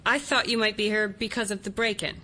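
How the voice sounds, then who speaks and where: Woman acting as matter-of-fact female resident, guarded, sharp bright voice, audio drama, slight noise. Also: mid-range voice, steady tone, a little fast, close to an everyday voice. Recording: faint background noise.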